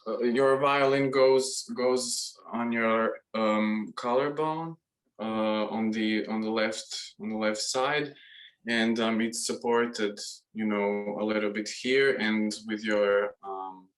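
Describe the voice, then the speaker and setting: A young man in his twenties with a Croatian accent, a violinist speaking as a guest on a video call, pausing often with many ums and uhs. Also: on the low side, steady tone. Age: 20s. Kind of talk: casual video call interview.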